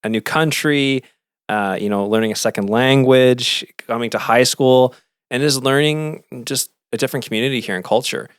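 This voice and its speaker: A Canadian-born man hosts a casual interview podcast, working through his questions with lots of fillers and restarts. His voice sits at a middle pitch, and the sound is dry and close to the mic. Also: normal speed, clean tone, no echo. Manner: rambling, self-correcting questions with many fillers. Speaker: podcast host.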